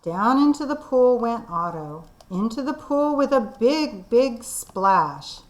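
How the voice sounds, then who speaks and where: Slow expressive woman reading aloud. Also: fairly high pitch. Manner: expressive, deliberate. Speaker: kindergarten teacher. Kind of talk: storybook read-aloud.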